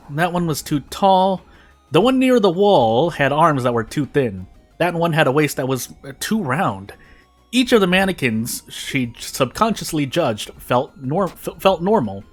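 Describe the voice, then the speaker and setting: A man narrates a written story in a somewhat high voice. His intonation swings widely up and down as he moves through short, deliberate phrases. Music plays faintly behind him. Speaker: fan fiction reader on a video channel. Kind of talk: reading a story aloud.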